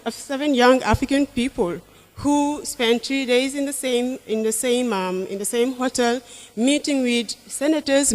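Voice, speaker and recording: A young woman from Senegal answers a host's questions about her teaching work. She sits a little high in her range and speaks thoughtfully, pausing to restart her sentences and laughing softly now and then. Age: young adult. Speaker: guest, English teacher. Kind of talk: casual interview answers.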